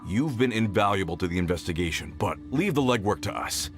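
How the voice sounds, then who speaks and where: A man playing a dutiful leader of a search party in a video game, brisk, practical and earnest. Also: on the low side, lively intonation, a bit bright, faint music behind. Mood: resolute. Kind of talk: English video game voice acting.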